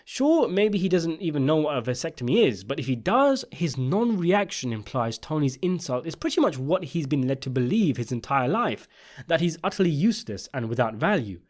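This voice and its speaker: A man's voice: fairly high pitch, very animated, normal speed, a little mellow. A man delivering scripted video essay commentary on a TV series, in an emphatic, opinionated way. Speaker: video essayist and channel host.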